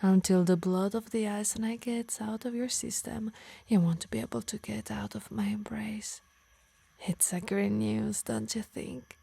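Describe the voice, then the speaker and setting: A woman plays a yandere harpy, doting on her beloved while quietly controlling her. The voice is breathy and mellow with a creaky edge, often dropping into whispers. It is intimate ASMR roleplay, teasing and menacing by turns. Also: medium pitch, steady tone, a little slow, heavily acted. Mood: possessive, controlling. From Italy. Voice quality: breathy, soft. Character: possessive yandere female harpy, doting yet menacing lover. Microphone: close, dry microphone.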